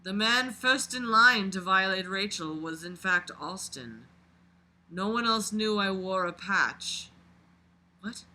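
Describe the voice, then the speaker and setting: A mid-pitched reader with a very bright, sharp voice. The delivery is a dramatic read-aloud, expressive and exclamatory, broken up by mocking, sweary asides. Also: slow, poor audio. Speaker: reader and commentator.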